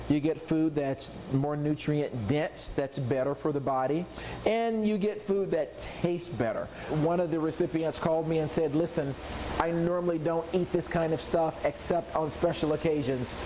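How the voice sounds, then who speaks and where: Upbeat man explaining over clear noise. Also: on the high side, mellow. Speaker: nonprofit meal service official. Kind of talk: news interview soundbite. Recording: clearly noisy background.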